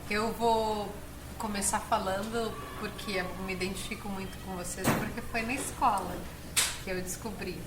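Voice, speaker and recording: A woman's voice: mid-range voice, steady tone, normal speed. A woman with a southern Brazilian accent, telling personal stories as an interview guest, earnest and given to emphatic repetition. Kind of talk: personal storytelling in conversation. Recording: echoey room, clear background noise.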